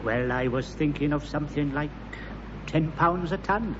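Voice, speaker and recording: A man's voice: fairly high pitch, moderate pace, close to an everyday voice. Timid, hesitant scrap seller played by a man. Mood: hesitant, polite. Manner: hesitant, polite, apologetic. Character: timid, apologetic man selling scrap lead. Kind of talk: British sitcom acting before an audience. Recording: muffled, reverberant old sitcom soundtrack, faint noise.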